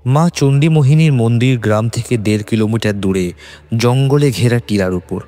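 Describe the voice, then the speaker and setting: A man's voice: medium pitch, normal speed, dark tone, no echo. A man with a Bengali accent narrating a scripted horror story in a dramatic, suspenseful way.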